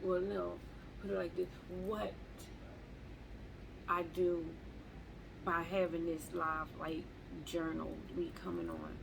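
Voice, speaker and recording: A woman talking slowly and haltingly in a reflective live journal at home, often repeating words as she searches for them. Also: fairly low pitch, flat delivery, gravelly, noisy background. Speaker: vlogger.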